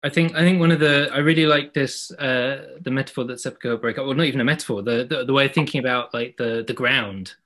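Man, forties, home video call, mid pitch, reflective, repeats words. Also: quick pace, hardly any pauses, fairly bright tone. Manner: thoughtful, repeats words while searching. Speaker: panelist. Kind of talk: online panel discussion. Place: home room. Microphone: laptop mic on video call.

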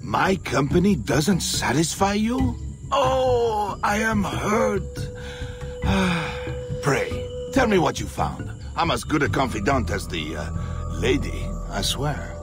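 A man's voice: a little high, big pitch swings, slow, music in the background. A man playing a roguish, flirtatious smuggler in a video game. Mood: mock hurt. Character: roguish, flirtatious, theatrical male smuggler of the bayou.

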